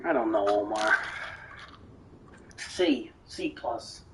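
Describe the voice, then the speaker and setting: A young man streaming a game, rambling and ranting, swearing now and then, his voice going hoarse. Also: lowish voice, animated, slow, background noise. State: voice getting hoarse. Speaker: gaming streamer. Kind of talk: rambling live-stream chat.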